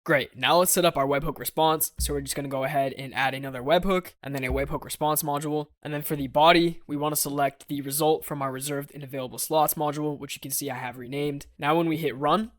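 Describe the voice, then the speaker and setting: Male course instructor, slightly high voice, methodical step-by-step software walkthrough, close and dry recording. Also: steady tone, fast talker, hardly any pauses, no room sound. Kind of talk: scripted software tutorial narration.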